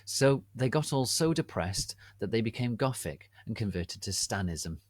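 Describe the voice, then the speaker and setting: A man narrating fan fiction as a theatrical, deliberate dramatic reading. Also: on the low side, animated, no room sound, studio quality.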